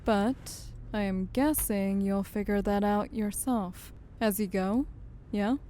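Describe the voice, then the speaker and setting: A woman's voice: medium pitch, slow pace, dark tone, a little hiss or hum, close to an everyday voice. A woman acting as a wry, worldly enforcer for a crime syndicate in an audio drama, with a knowing, teasing manner. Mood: knowing, advising. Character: wry, worldly female syndicate muscle, a secret informant.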